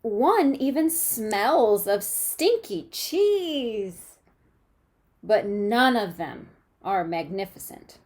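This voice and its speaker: A woman teacher reading a picture book aloud to children, expressively, pausing to ask questions and check understanding. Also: medium pitch, animated.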